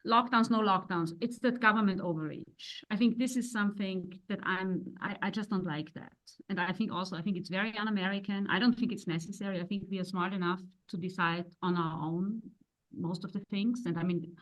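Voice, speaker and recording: A woman's voice: medium pitch, steady tone, fairly quick, fairly bright tone, poor audio. Opinionated woman rambling on a podcast. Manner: opinionated, rambling, restarts sentences. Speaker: guest, wife of the other guest. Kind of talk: casual interview talk.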